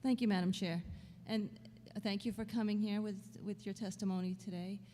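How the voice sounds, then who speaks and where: A woman, a state representative, politely asking halting questions at a committee hearing. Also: mid-range voice, steady tone, dark tone. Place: legislative committee hearing room. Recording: long hall reverb, faint room noise.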